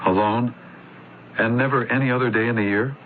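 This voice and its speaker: An actor playing an observant, suspicious male neighbor in a radio drama, deliberate and insinuating. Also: medium pitch, fairly even intonation, lightly acted. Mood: suspicious, insistent. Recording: tinny vintage radio broadcast, faint noise.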